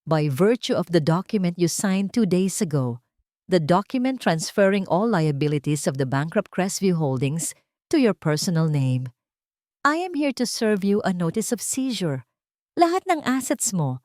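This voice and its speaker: A woman's voice: lowish voice, big pitch swings, measured pace, darkish tone, dry recording, clean studio sound. Stern, formal male lawyer read by a woman.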